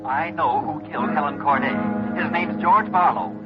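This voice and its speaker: A man's voice: on the high side, normal speed, natural voice. A man acting an urgent anonymous informant in a 1950s radio drama, insistent and accusing. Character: urgent anonymous telephone tipster. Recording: dull vintage radio, loud music behind.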